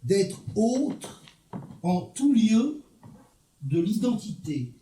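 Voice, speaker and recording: A man presenting a poet with a formal, read-aloud introduction, delivered in a measured, literary way. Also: sits high, big pitch swings, dark tone, slight background noise. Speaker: event presenter. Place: performance venue. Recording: echoey hall.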